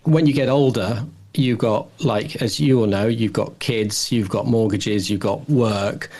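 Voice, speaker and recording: A man's voice: on the low side, unhurried, a little mellow. A man of about fifty chatting casually as a podcast host, opinionated and inclined to tease. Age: late 40s or about 50. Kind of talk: casual discussion of television.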